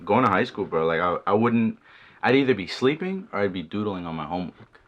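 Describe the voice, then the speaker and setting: A young man, an artist, talking casually about his work in a documentary interview, relaxed and swearing freely. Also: on the low side, brisk, highs cut off. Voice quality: laid-back, swears often, says "bro" and "like".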